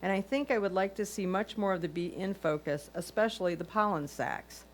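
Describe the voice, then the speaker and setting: A woman reads out written comments on photographs for a club competition. Her pitch is average for a woman. She speaks as a reader relaying a judge's prepared notes. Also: fairly quick, a little hiss or hum. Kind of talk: reading written photo critiques aloud.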